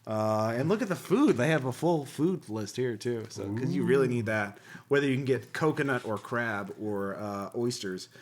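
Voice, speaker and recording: A man's voice: medium pitch, moderate pace. A man hosting a casual chat about gaming magazines, with wry, jokey asides and some swearing. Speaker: podcast host.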